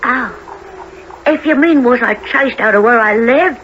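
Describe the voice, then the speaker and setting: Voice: medium pitch, slow pace, natural voice. A performer playing Oliver Twist, an innocent, weary orphan boy with an earnest, politely formal manner, in a radio play. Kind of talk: family radio play acting. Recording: dull lo-fi with clear noise.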